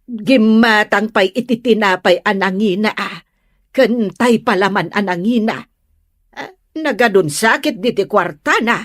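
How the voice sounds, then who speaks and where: Nosy neighbourhood gossip, acted by a woman, female, catty, grumbling, unhurried, dry recording, comic radio drama. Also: mid-range voice, fairly bright tone, dry recording. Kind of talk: comedy radio drama acting in Ilocano.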